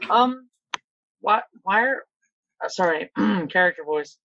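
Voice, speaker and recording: A man's voice: high-pitched, lively intonation, measured pace, pushed and bright, no echo. A man playing in a casual tabletop role-playing game, improvising in a hesitant, stumbling way through a slightly muffled mic.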